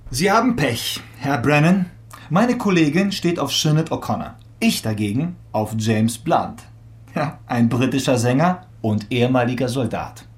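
A man's voice: medium pitch, lively intonation, moderate pace, natural voice. An actor as a sardonic male police detective. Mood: needling. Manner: ironic, needling. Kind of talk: German radio crime drama acting.

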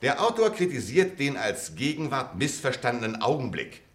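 A man's voice: mid-range voice, big pitch swings, moderate pace, fairly bright tone. An actor playing an earnest middle-aged man who argues intellectual points with insistence in an acted drama. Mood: insistent. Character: earnest, argumentative middle-aged former pupil. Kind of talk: acted radio-play dialogue.

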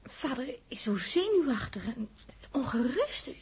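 A woman playing an excitable, coaxing seventeen-year-old daughter with a gushing, girlish manner, in 1960s radio drama style. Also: sits high, a little slow, slightly rough, heavily acted. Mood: worried.

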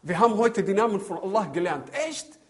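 A man, middle-aged, preaching a religious sermon in an animated storytelling way, acting out dialogues, repeating himself and asking rhetorical questions, with Arabic phrases mixed in. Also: sits high, fairly quick. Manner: code-switching into Arabic phrases. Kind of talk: religious sermon on raising children.

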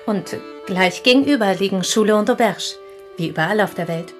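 An actress playing a reserved provincial piano teacher and confidante in a German radio play, speaking with courteous restraint. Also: female voice, mid-range voice, fairly quick, natural voice.

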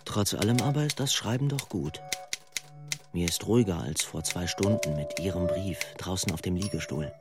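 A man giving a measured, reflective literary reading of letters and verse. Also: deep voice, loud music behind the voice.

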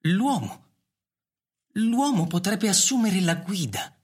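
Give the robot butler an unhurried, slightly husky delivery. It is ancient, loyal and wistful, formal and deferential in a radio play, with music underneath. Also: medium pitch, lively intonation. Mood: earnest. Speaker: actor in a radio drama. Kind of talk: Italian radio theatre acting.

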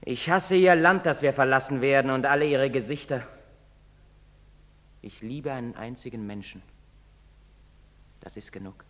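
Young outcast son, bitter and intensely scornful, theatrical German drama delivery, very reverberant space. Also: male voice, on the low side, unhurried, long pauses, darkish tone. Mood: hateful resolve. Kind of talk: German stage drama acting. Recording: very reverberant hall or stage.

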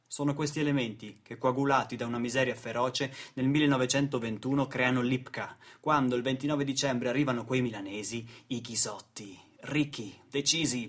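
A man's voice: mid-range voice, fairly bright tone. A man delivering dramatic scripted narration for radio theatre in a solemn, theatrical manner, with short weighted phrases. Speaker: narrator and stage actor.

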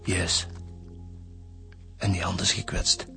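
Easygoing, slightly low-voiced detective. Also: male voice, little pitch movement, slow, creaky, sharp tone, soft background music, heavily acted. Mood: casual, slightly defensive. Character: easygoing male police inspector, junior partner. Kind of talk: radio drama acting.

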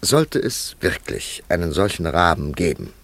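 A man's voice: sits low, sing-song, measured pace, fairly bright tone, no room sound, heavily acted. An actor in a German radio play as a courteous, sceptical intellectual man, wry and teasing, with precise, polite phrasing.

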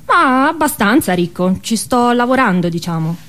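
A woman's voice: a little high, very animated, moderate pace, fairly bright tone. Woman playing an earnest money-minded little boy. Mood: smug, modest.

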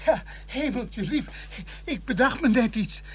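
An actor playing a fussy, scatterbrained village vicar in a Dutch radio play, hurried and dithering, often repeating himself. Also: male voice, very high voice, expressive, fairly bright tone, husky, exaggerated voice. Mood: dithering, excited.

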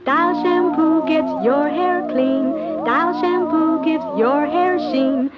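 A woman's voice: high voice, slow pace, loud music behind the voice. A woman singing a 1950s radio advertising jingle. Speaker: jingle singer. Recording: muffled vintage radio recording.

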